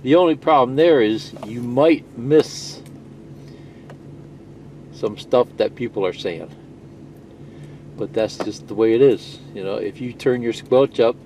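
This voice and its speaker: A man's voice: medium pitch, a little slow, pauses often, a little mellow. Casual man over noisy static. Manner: folksy, reassuring, often checks in with "okay" and "you know". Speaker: presenter of a CB radio how-to video. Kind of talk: casual, unscripted equipment demonstration. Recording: clearly noisy, with static and hum. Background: CB radio static hiss.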